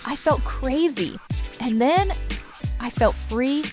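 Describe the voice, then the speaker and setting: A woman's voice: sits high, lively intonation, slow pace. A woman playing an emotionally raw woman finding her courage, in simple short sentences for an educational radio drama. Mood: elated. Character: Olivia, emotionally raw young woman finding courage after losing job. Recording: music bed behind the voice.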